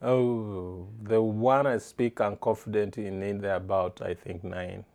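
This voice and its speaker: Middle-aged man from East Africa, low voice, halting, restarts sentences, interview answers. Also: steady tone, a little mellow. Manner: halting, restarts phrases, searches for words.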